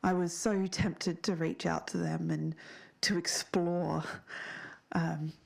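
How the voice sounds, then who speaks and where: A woman playing an earnest, shaken survivor of a strange town, speaking haltingly in a naturalistic audio drama interview. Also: fairly low pitch, little pitch movement, creaky, darkish tone, heavily acted. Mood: haunted, earnest. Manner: halting, searching for words.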